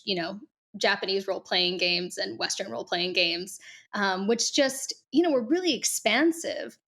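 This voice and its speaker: A woman's voice: mid-range voice, a bit bright. Chatty young woman guest author. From Kuwait. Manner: chatty, frequent fillers, laughs easily. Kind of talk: casual interview chat. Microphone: video call.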